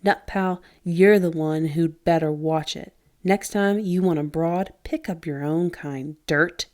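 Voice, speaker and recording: A woman voicing a drunk, sneering rich teenage bully who talks slowly and unsteadily. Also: low voice, a little mellow. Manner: slow, unsteady, taunting.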